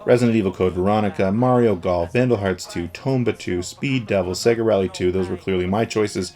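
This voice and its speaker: A man's voice: fairly low pitch, fairly quick. A middle-aged man leafing through an old gaming magazine, chatting loosely about it with plenty of ums and uhs. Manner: relaxed, rambling, with frequent fillers. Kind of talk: casual commentary.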